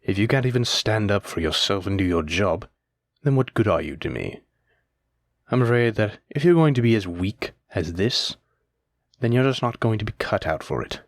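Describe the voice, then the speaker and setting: A man playing a stern, teasing demon lord in a scripted audio roleplay, speaking in a measured, commanding way. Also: on the low side, lively intonation, no echo, clean studio sound, lightly acted. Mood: cold, menacing.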